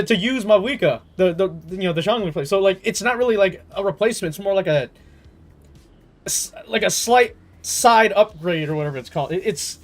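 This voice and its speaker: A man streaming a game, chatting casually and rambling through his thoughts in slangy, stop-start talk with some swearing. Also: high-pitched. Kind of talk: casual livestream chat, rambling gaming commentary. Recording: music playing behind the voice.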